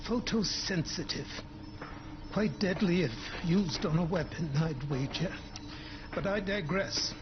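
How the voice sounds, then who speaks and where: A man's voice: sits high, measured pace, muffled recording, noisy background. A man playing a fussy, kindly scientist doctor in a video game, with formal, old-fashioned phrasing and a hesitant, digressive manner. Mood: excited, absorbed. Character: fussy, scholarly, kindly male doctor researching a zombie virus. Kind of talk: video game cutscene acting.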